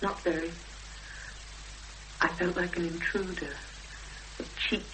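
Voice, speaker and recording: A woman playing an earnest young woman in a 1970s British radio drama, expressive and hesitant when pressed, on an old recording. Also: on the low side, unhurried, a little hiss or hum, poor audio. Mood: reflective. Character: Emma, earnest young woman searching for missing sister.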